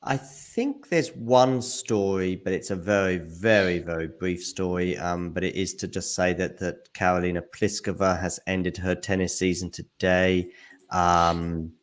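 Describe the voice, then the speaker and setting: A man's voice: low-pitched, steady tone, unhurried. A man hosting a casual podcast chat, self-deprecating and chatty. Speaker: podcast host.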